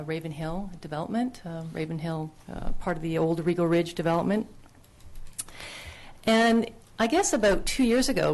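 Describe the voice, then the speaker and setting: A woman's voice: sits low, a little hiss or hum. A woman presenting her business plan at a town council public hearing, speaking earnestly into a podium microphone with frequent fillers and restarts. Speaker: business applicant. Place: council chamber.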